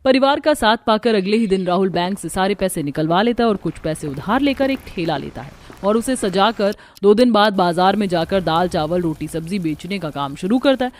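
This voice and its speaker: A woman's voice: medium pitch, animated, brisk, few pauses. A woman giving scripted narration for a Hindi cartoon story.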